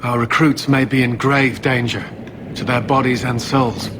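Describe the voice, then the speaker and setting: A man playing an earnest, worried knight captain in a fantasy video game, speaking formally and gravely. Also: medium pitch, flat delivery, moderate pace, close to an everyday voice. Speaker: game character. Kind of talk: dramatic video game dialogue. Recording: noisy game audio.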